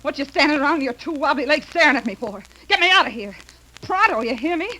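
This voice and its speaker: An actress playing an imperious, sharp-tongued young scheming wife in 1930s radio melodrama, demanding and indignant. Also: female voice, sits high, lively intonation, quick pace. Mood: furious. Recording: noisy, crackly 1930s radio recording.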